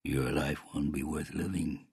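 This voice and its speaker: Man voicing a deep, menacing Canadian uncle. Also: steady tone, a little slow, gravelly, darkish tone, husky, dry recording, clean studio sound, heavily acted. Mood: quietly threatening. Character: menacing, controlled middle-aged uncle with deep voice.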